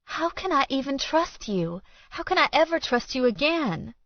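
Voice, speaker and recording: A woman's voice: high-pitched, sing-song, moderate pace, no echo, dull audio, voice pushed up. A woman narrator voicing a hurt, angry young woman with a quavering, accusing delivery in an audiobook romance. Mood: bitter, hurt. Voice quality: wobbly, quavering. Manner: emotional, accusing, crying out. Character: hurt, angry young woman confronting her lying lover.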